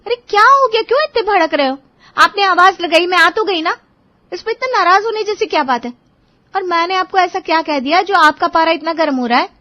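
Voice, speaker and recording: A woman plays a young newly married wife in a storybook drama, defending herself and reasoning with her moody husband. Her voice sits fairly high, and the recording is muffled. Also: brisk, lightly acted. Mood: defensive.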